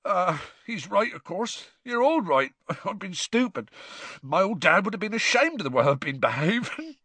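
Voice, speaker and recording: A man voicing a huge, soft-hearted male gamekeeper with a hoarse, croaky voice and rough speech. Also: high voice, sing-song, moderate pace, no echo, voice pushed up, full character voice. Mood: tearful, earnest. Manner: rough working-class speech.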